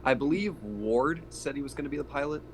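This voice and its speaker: A man's voice: mid-range voice, brisk, crowd noise. A man chatting casually as a player in a tabletop roleplaying game. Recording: clear background noise and hum.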